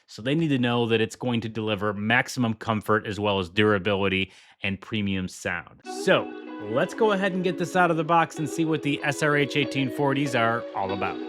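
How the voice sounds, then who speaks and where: A man's voice: mid-range voice, expressive, a little fast, a bit bright, soft background music. A man narrating a headphone unboxing and demo in an upbeat, promotional manner. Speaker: product reviewer.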